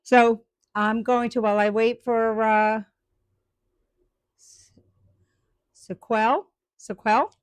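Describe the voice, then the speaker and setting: A woman's voice: a little high, little pitch movement, slow pace, pauses often, smooth, dry recording. A woman chatting live with viewers about her woodturning, rambling with lots of ums and restarts. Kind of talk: casual live-stream chat.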